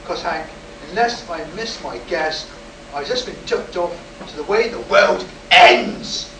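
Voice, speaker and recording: An actor playing a shaken, insistent test pilot in a live sci-fi radio play, with dramatic, urgent delivery. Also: male voice, high voice, lively intonation, measured pace. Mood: defensive. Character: agitated, insistent rocket test pilot shaken by an alien encounter. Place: live performance in a room at a convention. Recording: roomy, distant, noisy live convention stage recording.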